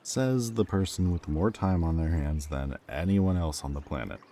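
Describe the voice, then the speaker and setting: A man's voice: deep voice, expressive, normal speed, mellow, a little hiss or hum. A man acting a wry, loyal ex-villain thief in a roleplay audio drama, talking casually, both teasing and earnest. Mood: wry, questioning.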